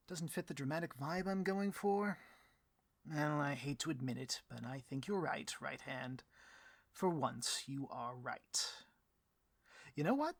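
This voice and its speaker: A man playing a vain, easily riled supervillain boss in a comedic audio roleplay, grandiose and melodramatic, with a husky voice. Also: on the high side, no room sound. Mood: petulant, then grudging, musing. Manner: grandiose, melodramatic, petulant speechifying.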